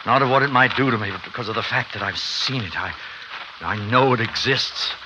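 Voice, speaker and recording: An actor playing a worried, stern friend of a scientist, grave and admonishing, in 1950s radio drama style. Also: male voice, mid-range voice, fairly quick, lightly acted. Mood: grave, uneasy. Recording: noisy 1950s radio recording.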